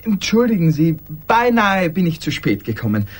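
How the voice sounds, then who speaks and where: An actor playing a mild, hesitant, apologetic office clerk in a radio play. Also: male voice, high voice, sing-song, moderate pace.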